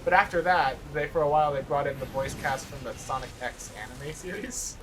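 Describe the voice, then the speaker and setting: A man's voice: a little high, brisk. A young man co-hosting a let's play, chatting casually and enthusiastically like a fan while a game runs. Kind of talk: casual chat over gameplay. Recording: game music behind the talk. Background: video game cutscene music.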